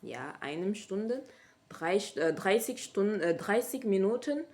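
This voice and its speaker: A woman's voice: mid-range voice, lively intonation, takes it slowly, a little mellow. A woman speaking German with a French accent as a learner, hesitant and often stopping to correct herself in a casual vlog. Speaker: language learner sharing her method. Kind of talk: casual vlog talk to camera.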